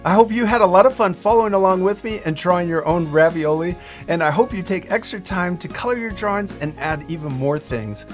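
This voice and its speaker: A man's voice: sits high, a little fast, soft background music. A middle-aged man, guiding children step by step through a drawing lesson with simple, encouraging instructions. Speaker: host of a children's drawing tutorial.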